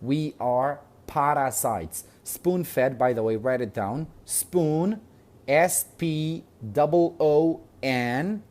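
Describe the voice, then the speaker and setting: A man's voice: a little high, drawn-out words, darkish tone. A man with a Kurdish accent teaching English in an online lesson, patiently repeating words, spelling them out letter by letter and checking in with "okay?".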